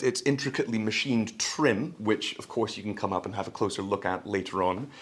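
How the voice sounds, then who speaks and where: A man with a lowish voice speaks as a company presenter at a product launch. His delivery is polished and florid, the language of a sales pitch, broken by hesitant asides. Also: fairly bright tone.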